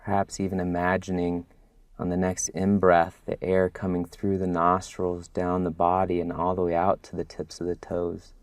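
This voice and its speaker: A man guiding a body scan meditation as a mindfulness instructor, speaking gently and soothingly with long pauses. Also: low-pitched, monotone, no echo.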